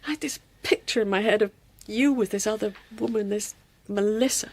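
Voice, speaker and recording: An actress playing an emotional, remorseful, devoted wife in a radio drama, speaking in a halting, pleading way. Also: female voice, mid-range voice, close to an everyday voice. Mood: remorseful, relieved.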